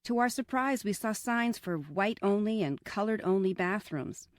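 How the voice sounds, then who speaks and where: A woman narrating a scripted first-person memoir in a measured, reflective way. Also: mid-range voice, animated, no room sound, studio quality.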